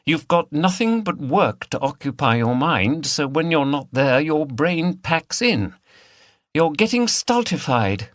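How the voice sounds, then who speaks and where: A man plays a brisk, teasing woman in a mystery audiobook. The voice is raised well up in pitch, frank and chiding, with a very dry, close sound. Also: sing-song, a bit bright, dry recording. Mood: frank, encouraging. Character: brisk, teasing woman, partner of a weary detective.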